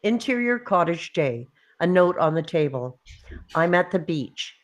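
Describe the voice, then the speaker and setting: A woman's voice: lowish voice, very animated, unhurried. A woman narrating screenplay stage directions in short, clipped, punchy phrases at a table read. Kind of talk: scripted narration of screenplay action.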